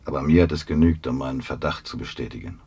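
An actor playing a shrewd, dogged police investigator with a probing, deliberate manner in a radio drama. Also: male voice, very deep voice, creaky, darkish tone, slightly rough, lo-fi sound, exaggerated voice. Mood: probing, determined. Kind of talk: 1970s German radio drama acting.

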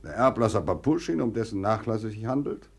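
An actor in a 1950s German radio drama playing a worldly, self-assured older gentleman, fatherly and emphatic in his declamatory delivery. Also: on the low side, big pitch swings, fairly quick, vocal fry, husky, full character voice. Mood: impressed, congratulating.

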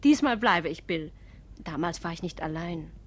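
A woman's voice: fairly low pitch, lively intonation, moderate pace, a little hiss or hum, natural voice. An actress in a German radio play voicing a flirtatious, restless married society lady with an effusive, coquettish manner. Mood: seductive.

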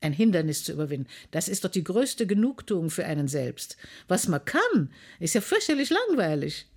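A woman's voice: fairly low pitch, big pitch swings, moderate pace, husky. A woman talking conversationally in an interview, emphatic and opinionated.

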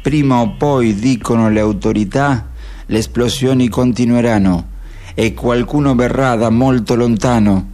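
Man as a slow, solemn radio reporter. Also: medium pitch, mellow, lightly acted. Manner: deliberate, grave. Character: solemn male radio reporter describing poetic disasters. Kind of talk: scripted poetic radio-play narration. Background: faint music.

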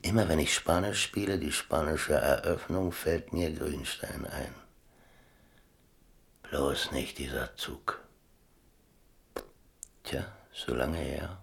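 An old sailor looking back on his life in a radio play. The voice is extremely deep and full of gravelly creak. He talks slowly, musing and wry, in plain colloquial words. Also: full character voice. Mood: musing, amused. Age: older. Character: old seaman reminiscing about chess, wry, rambling storyteller. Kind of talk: radio play monologue, colloquial first-person storytelling.

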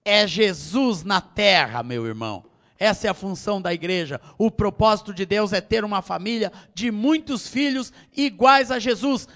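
A middle-aged Brazilian man with a Brazilian Portuguese accent preaching a sermon, swinging from loud high exhortation to quiet low tones. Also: normal speed. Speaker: pastor.

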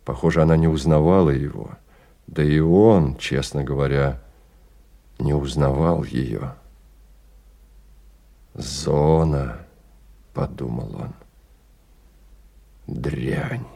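A man narrating a radio drama in measured, deliberate phrases with pauses. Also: very deep voice, gravelly, warm tone. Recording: radio play with music behind.

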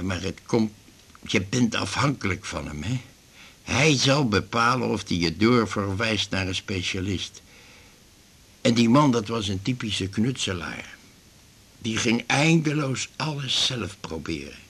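A man's voice: low voice, creaky, fairly bright tone, breathy edge, exaggerated voice. An actor playing an aggrieved, garrulous retired glue salesman in his fifties in a radio drama, rambling and grumbling. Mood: indignant. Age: 50s. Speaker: patient in a doctor's office. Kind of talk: naturalistic radio drama acting.

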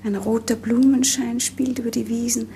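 Woman playing a wistful young princess, highish mellow voice, poetic old radio play, faint noise. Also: fairly even intonation, normal speed, close to an everyday voice. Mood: wistful, hushed. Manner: soft, poetic, often breathy. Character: dreamy young princess, gentle, romantic, wistful. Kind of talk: 1950s German radio drama acting.

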